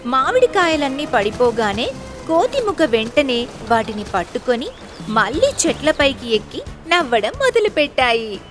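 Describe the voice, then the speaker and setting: A woman's voice: high-pitched, sing-song, unhurried, fairly bright tone, faint music behind. A woman narrating scripted moral stories for children as a storyteller.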